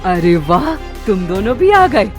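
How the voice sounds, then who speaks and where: A woman performs a menacing cartoon witch who eats forest animals. She gloats theatrically at a medium pitch, with prominent music behind her. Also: big pitch swings, a little slow. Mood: gloating menace. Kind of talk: Hindi animated moral story acting.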